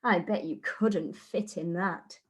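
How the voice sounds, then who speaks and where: A woman's voice: on the low side, takes it slowly, pitched below the performer's own voice. A woman voicing a young girl in a fairy tale, cheeky and dramatic. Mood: taunting.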